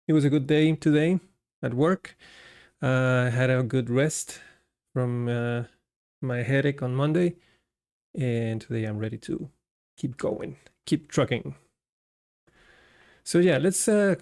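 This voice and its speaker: Man from Costa Rica, live coding host, slow, even-pitched delivery, narrating his steps aloud. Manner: talks through code as he works, chatty with viewers.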